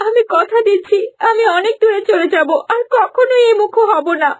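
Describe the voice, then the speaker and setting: A woman voicing a gentle young heroine in a cartoon, tearful and pleading for her life. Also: very high-pitched, normal speed. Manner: pleading, tearful cries for help. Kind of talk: cartoon voice acting. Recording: music bed underneath.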